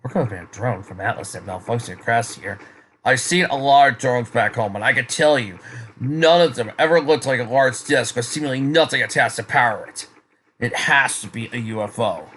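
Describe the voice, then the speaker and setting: A man's voice: lowish voice, a little fast, sharp tone, slightly rough. A man playing an insistent, argumentative soldier in a fan fiction reading. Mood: insistent, exasperated. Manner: emphatic, argumentative. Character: insistent male soldier arguing with a comrade. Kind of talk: amateur fan fiction voice acting.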